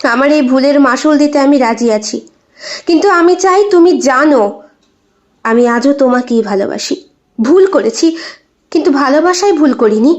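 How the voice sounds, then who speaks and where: A woman's voice: sits high, animated, normal speed, pauses often, dull audio. A woman voicing a guilt-ridden, pleading wife in an audio story, with a hesitant, tearful tremble. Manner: hesitant, apologetic, earnest. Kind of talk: dramatized audio short story.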